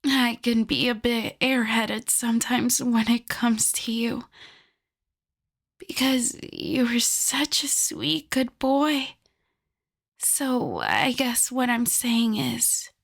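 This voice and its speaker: Woman doing a yandere wolf girl asmr, soft whispery breathy voice, super slow cooing, possessive and creepy sweet. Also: a little high, lively intonation, heavily acted. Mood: adoring, dreamy. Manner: slow, cooing, lingering over endearments. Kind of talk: intimate ASMR roleplay.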